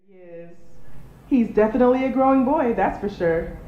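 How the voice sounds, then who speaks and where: A woman's voice: a little high, normal speed, lightly acted. A woman playing a friendly, chatty ultrasound technician in an analog horror drama. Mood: cheerful, reassuring. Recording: muffled, echoey room, faint background noise.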